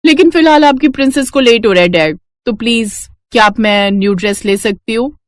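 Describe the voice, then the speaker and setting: A woman's voice: on the high side, fairly quick, no echo, close to an everyday voice. A woman voicing a spoiled, haughty college-age rich daughter in an audio story, with snooty speech sprinkled with English. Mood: impatient.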